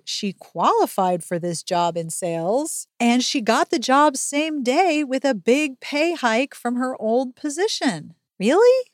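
A woman's voice: high voice, no room sound, clean studio sound. A woman hosting a casual, chatty book recap podcast, speaking in an emphatic, sarcastic and sweary way. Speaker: podcast host recapping a novel.

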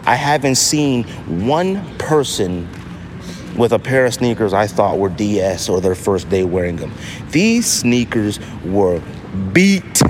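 A man's voice: medium pitch, noisy background. A man hosting a casual solo podcast, talking emphatically and repeating phrases to drive them home. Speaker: podcast host.

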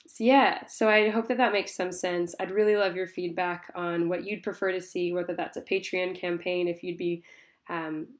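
A woman who hosts a podcast, sounding congested and talking to her listeners in a chatty, apologetic way full of ums. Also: on the low side. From Tasmania, Australia. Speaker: podcast host. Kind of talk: casual spoken update to listeners. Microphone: phone.

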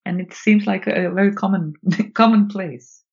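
Woman, Swedish accent, mid-pitched, thoughtful guest in conversation, muffled lo-fi recording. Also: moderate pace, vocal fry, darkish tone. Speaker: guest, author and trainer in nonviolent communication. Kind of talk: reflective interview conversation.

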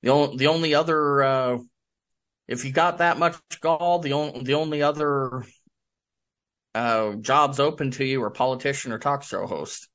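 A middle-aged man answering interview questions as a guest author, halting and thinking aloud as he talks. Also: medium pitch, fairly bright tone.

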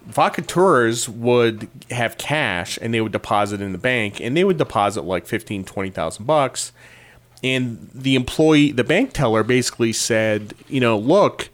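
A middle-aged man from Connecticut hosting a podcast, rambling through wry personal anecdotes in a casual monologue. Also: medium pitch. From Connecticut, USA. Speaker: podcast host.

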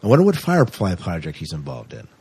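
Lively, low-voiced man hosting a podcast. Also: quick pace, husky, no echo. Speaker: podcast host. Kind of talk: casual sci-fi entertainment news chat.

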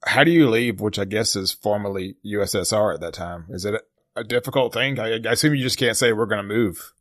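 A man's voice: lowish voice, a little fast, fairly bright tone, no echo, studio quality. A middle-aged man hosting a podcast, interviewing in a conversational style. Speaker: podcast host. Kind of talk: conversational interviewing with scripted intro.

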